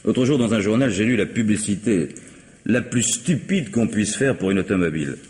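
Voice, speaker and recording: An actor playing a wry, cheeky vagabond who scrounges drinks, with sardonic, drawling banter, in a vintage radio drama. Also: male voice, fairly low pitch, lively intonation, normal speed, darkish tone, lightly acted. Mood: sly. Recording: old radio recording, long reverb, faint hiss.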